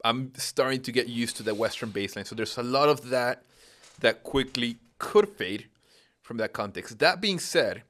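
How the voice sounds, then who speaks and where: A man's voice: medium pitch, lively intonation, normal speed, a bit bright. A man in his thirties, originally from Peru, explaining patiently in a casual conversation with frequent rhetorical questions.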